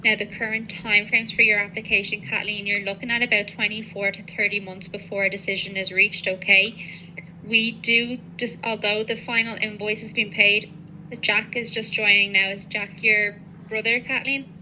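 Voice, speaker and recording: A woman's voice: mid-range voice, flat delivery, normal speed, bright, forceful tone, slight background noise, rough recording. A woman working as a legal adviser, methodically explaining procedures to a client on a professional call. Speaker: legal adviser on citizenship applications.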